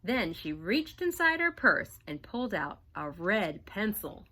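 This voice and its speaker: Animated, slow-paced woman teacher reading aloud. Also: mid-range voice. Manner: animated storybook reading with teacherly questions to the class. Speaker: first grade teacher. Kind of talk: reading a picture book aloud to young children.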